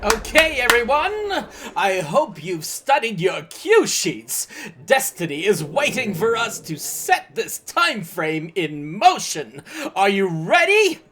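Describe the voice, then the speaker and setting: A woman's voice: medium pitch, very animated, takes it slowly, fairly bright tone, exaggerated voice. A woman playing a peppy, demanding crew boss in an audio drama. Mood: rallying.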